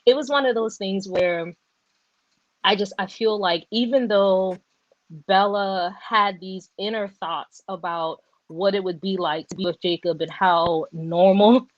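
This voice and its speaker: A woman hosting a casual book discussion, talking in animated, rambling runs and correcting herself as she goes. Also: medium pitch, measured pace, no room sound, dull audio. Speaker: podcast host.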